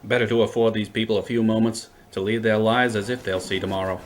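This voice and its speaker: A man's voice: fairly low pitch, steady tone, fast, lightly acted. A man playing a wry, unflappable time-travelling adventurer with a dry, wordy, flippant way of talking, in an audio drama. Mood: resigned, dry.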